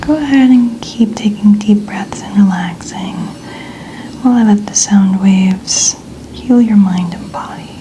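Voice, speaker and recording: A woman speaking in a breathy, soothing whisper, gently reassuring as she plays a chiropractor in a soft-spoken ASMR roleplay. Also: mid-range voice, a little slow, slight background noise, poor audio. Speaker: ASMR creator playing a chiropractor.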